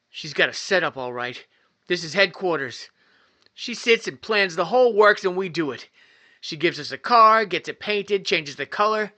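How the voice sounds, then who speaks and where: A man's voice: high voice, pushed and bright, dry recording. A man playing a scared, earnest young gang kid in a 1950s-style radio drama, speaking in clipped phrases.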